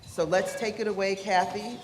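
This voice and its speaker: A woman's voice: mid-range voice, fairly even intonation, moderate pace. A woman introducing panel guests and the moderator at an event, reading with small slips. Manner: warm, slightly fumbling introductions. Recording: echoey hall with background noise.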